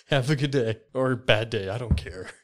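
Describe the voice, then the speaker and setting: A man playing a tabletop game, chatting casually and speaking in character. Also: medium pitch. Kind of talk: casual in-character roleplay and chat.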